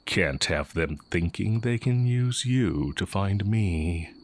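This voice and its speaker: Guy doing a super deep slow talking evil magic teacher, sly and teasing but kinda tender, intimate fantasy roleplay audio. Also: lively intonation, exaggerated voice. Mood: coaxing, teasing. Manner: deliberate, teasing, with long pauses. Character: exiled heretic male magic teacher, sly, brooding, tender dark mage.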